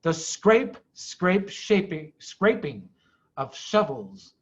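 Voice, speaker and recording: A man reading a children's story aloud with dramatic, expressive pauses and emphasis. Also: high-pitched, slightly rough, highs cut off. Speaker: storyteller, head of school.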